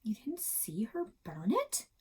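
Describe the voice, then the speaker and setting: Woman reading as an inquisitive, high-pitched, persistent child. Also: huge pitch swings, slow, a little mellow, husky, heavily acted. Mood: probing, skeptical. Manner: earnest, probing.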